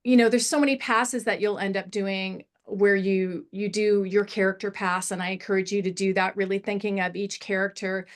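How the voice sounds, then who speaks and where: Woman, middle-aged, medium pitch. Script feedback panellist: encouraging, animated, digressive, conversational. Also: normal speed, hardly any pauses. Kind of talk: casual spoken feedback on a screenplay.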